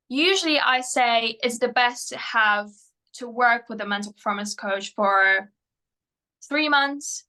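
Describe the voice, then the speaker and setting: A woman whose first language is Polish, a mental performance coach answering interview questions in English, often pausing with fillers and restarting her sentences. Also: medium pitch, pushed and bright. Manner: hesitant, many fillers and restarts.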